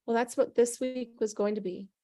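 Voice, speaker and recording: A woman hosting a casual group chat in a relaxed conversational way. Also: fairly high pitch, normal speed. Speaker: host.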